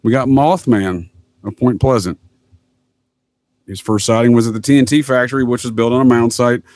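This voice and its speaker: A man's voice: mid-range voice, steady tone, normal speed, darkish tone, dry recording. A man talking casually as a podcast guest, telling stories in a rambling, mock-formal way. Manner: rambling, mock-formal, addresses listener as sir. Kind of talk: casual conversational storytelling.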